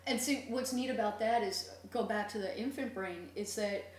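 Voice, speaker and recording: A woman's voice: medium pitch, moderate pace. A middle-aged woman chatting casually, telling stories and explaining things at length. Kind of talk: casual chat. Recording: echoey, distant mic, faint noise and hum.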